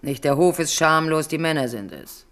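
A low-voiced scheming queen mother. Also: animated, normal speed. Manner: smooth, persuasive, mocking. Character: scheming queen mother, cool, calculating woman. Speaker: actress in radio drama. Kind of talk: 1970s radio drama acting.

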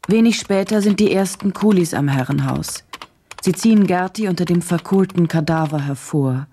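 An actress playing a sober journalist who narrates a grim case in a radio drama, measured, factual and detached. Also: female voice, lowish voice, dark tone, slight background noise, natural voice.

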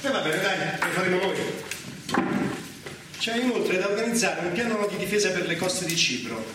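A general in a stage play, casually bossing his subordinate, far from the mic, loud noise. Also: sits high, animated, normal speed. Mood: casual, commanding. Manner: casually giving orders. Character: commanding general, brisk and informal with his subordinate. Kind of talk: naturalistic Italian stage drama. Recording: very echoey, distant, loud noise.

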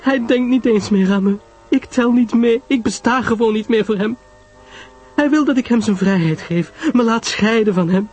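A despairing little woman of a mystery story, acted by a male reader, pleads in a high, husky voice. She stammers and sounds breathless and tearful, with faint music behind her. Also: moderate pace, a little mellow. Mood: anguished.

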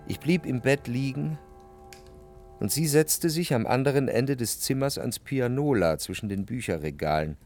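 A male actor as an introspective, indecisive young man telling his story in a literary radio drama. He speaks in a measured, reflective manner at a middling pitch, with instrumental music clearly behind his voice. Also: animated, a little mellow, lightly acted. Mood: contemplative. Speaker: first-person narrator in a radio drama.

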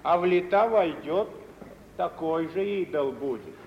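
A dull, hissy 1950s radio-play recording with long reverb carries a high-pitched café keeper. He is middle-aged, folksy and knowing, and lays out his homespun wisdom very slowly. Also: expressive. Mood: knowing, explaining. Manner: unhurried folksy old Volga vernacular, sententious. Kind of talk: mid-century Russian radio theatre acting.

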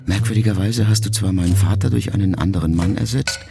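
A middle-aged man reading a literary memoir aloud in a measured, reflective first-person voice. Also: lowish voice, vocal fry, loud music behind the voice. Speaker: audiobook narrator. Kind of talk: scripted literary narration.

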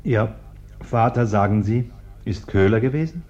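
An actor playing a polite, hesitant, respectable householder in a German radio play, courteous and awkward. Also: male voice, fairly low pitch, animated, unhurried, darkish tone. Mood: affable. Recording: muffled, noisy old radio recording.

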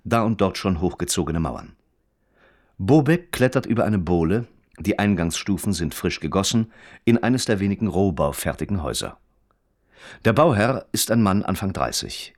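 An actor as the detached, observant narrator of a radio play, reading scripted prose in a measured, precise manner. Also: male voice, low-pitched. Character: detached, observant male storyteller.

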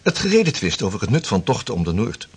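An actor playing a young sixteenth-century sea explorer, eager and tender, in a radio play. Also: male voice, fairly low pitch, big pitch swings, fast, breathy edge, exaggerated voice. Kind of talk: radio drama acting.

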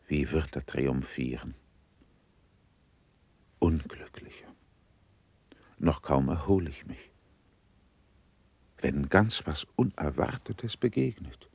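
A man's voice: rumbling low, vocal fry, breathy edge, dry recording, full character voice. An actor playing a grave, composed, patient statesman, speaking solemn verse in a classical radio play. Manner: measured, solemn, declamatory.

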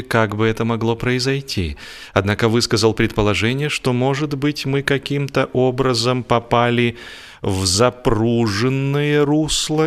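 A man narrating a humorous literary story as an audiobook reader, with expressive delivery. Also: mid-range voice, clean tone. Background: music behind the voice.

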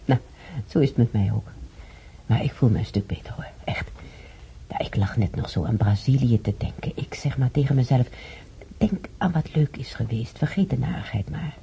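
Creaky old woman reminiscing. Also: rumbling low, moderate pace, in a whisper. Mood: resigned, chatty. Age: older. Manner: rambling, musing aloud, repeating herself. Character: chatty, wistful old woman reminiscing from her sickbed. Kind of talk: radio drama monologue and dialogue. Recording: dark, slightly noisy radio drama recording.

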